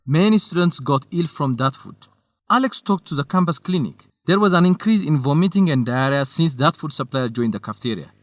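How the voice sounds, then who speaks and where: A man's voice: fairly high pitch, expressive, fairly quick, fairly bright tone, dry recording. An actor playing an earnest, loyal and shy young male researcher, a little hesitant, in an educational radio drama. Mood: indignant. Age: young adult.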